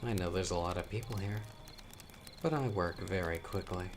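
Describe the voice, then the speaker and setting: A man playing a chatty young storyteller with hidden menace in a horror audio roleplay, talking casually with wry asides and hesitant pauses. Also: low-pitched, background noise, close to an everyday voice. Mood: quietly menacing.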